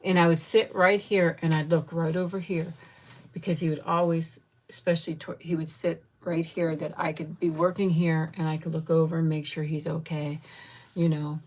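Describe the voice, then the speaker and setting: A woman in her fifties chatting candidly with viewers, grieving and close to tears, rambling with soft laughs and trailing pauses. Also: deep voice, flat delivery. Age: 50s. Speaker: vlogger chatting with live viewers. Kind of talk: casual live chat.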